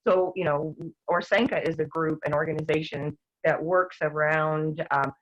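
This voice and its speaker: An older woman talking earnestly as a guest, rambling with restarts, her voice going hoarse. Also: low voice, steady tone, moderate pace. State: losing her voice, clearing her throat. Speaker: guest activist. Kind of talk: casual talk-show discussion. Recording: dull lo-fi sound.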